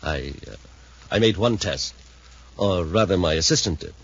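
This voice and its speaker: An actor playing an earnest, guarded scientist in a 1950s radio drama. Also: male voice, sits low, animated, normal speed, breathy edge, full character voice. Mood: hesitant, intent. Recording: dull 1950s radio recording.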